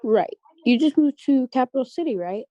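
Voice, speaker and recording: A woman's voice: high-pitched, expressive, moderate pace, mellow, no echo, muffled recording. A young woman playing a friendly, chatty teenage team PR girl in a table read. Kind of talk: table read of a scripted pilot.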